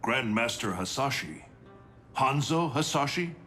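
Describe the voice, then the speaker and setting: An actor playing a solemn, commanding male warrior leader in a video game, formal and deliberate. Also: medium pitch, animated, sharp tone. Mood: solemn, urgent. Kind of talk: cinematic video game acting. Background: faint music.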